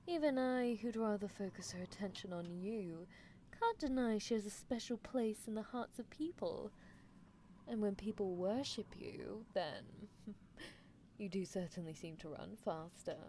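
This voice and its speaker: Casting: woman as playfully menacing girl scientist, medium pitch, theatrical ASMR roleplay, audible background noise. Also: unhurried, mellow, natural voice. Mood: teasing, chatty. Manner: theatrical, teasing, musing. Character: teasing female mad-scientist horse-girl, playfully menacing. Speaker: livestreamer. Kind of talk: roleplay ASMR livestream, answering chat in character.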